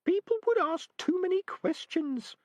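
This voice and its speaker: A man voicing a prim, sensible female rat, high and thin-sounding, stern and disapproving. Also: animated, unhurried, a little mellow, no room sound. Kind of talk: audiobook character voice.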